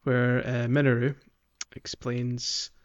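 A man of medium pitch co-hosts a gaming podcast in a casual, chatty way. The sound is a little muffled and lo-fi. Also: flat delivery, slow. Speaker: podcast co-host. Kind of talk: casual chat about a video game. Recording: slightly dull, lo-fi microphone.

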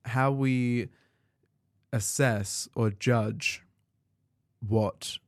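A young man co-hosting a student podcast, talking in a measured, questioning way. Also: mid-range voice, steady tone, no room sound, studio quality. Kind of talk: casual chat.

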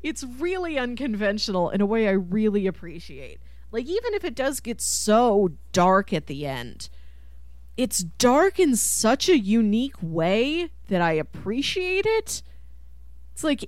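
A woman hosting a casual film discussion podcast, talking in an emphatic, animated manner. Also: mid-range voice, no room sound. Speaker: podcast host.

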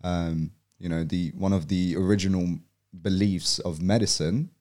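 A young man with a very low voice, guesting on a podcast. He is from Poland and talks in an easy, unhurried way, filling his pauses with "um" and "you know". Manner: relaxed, frequent "um" and "you know". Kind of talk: casual podcast conversation.

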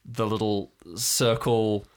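Male game master, deepish voice, unhurried pace, informal halting narration, improvised story. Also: level tone, clean tone.

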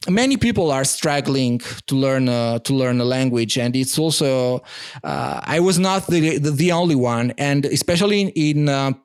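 A man's voice: medium pitch, lively intonation, normal speed, clear, resonant tone. A man in his thirties with an Italian accent, a native Italian speaker answering interview questions in a hesitant, earnest way with many restarts. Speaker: podcast guest.

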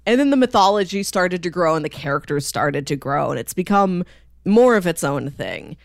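A woman's voice: lowish voice, big pitch swings, moderate pace, gravelly, no echo, clean studio sound. A woman co-hosting a podcast, talking casually and articulately about pop culture in well-formed summaries. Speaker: podcast co-host.

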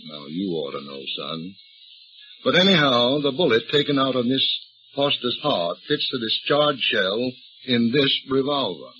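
An actor playing a shrewd, folksy older police detective, drawling and calmly needling a suspect in a 1930s radio drama. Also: male voice, mid-range voice, unhurried. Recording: dull old radio recording with faint hiss.

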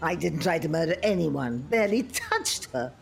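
A woman playing a quarrelsome, sharp-tongued old lady in an audio drama, arguing indignantly. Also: sits low, big pitch swings, normal speed, vocal fry, husky, heavily acted. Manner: indignant, argumentative.

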